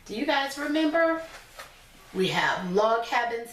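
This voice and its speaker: A woman teacher reading a picture book aloud to young children, pausing to explain things simply in her own words. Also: on the high side, big pitch swings, a bit bright, a little hiss or hum. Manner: instructive, repeats and explains points simply. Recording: echoey room, distant from mic.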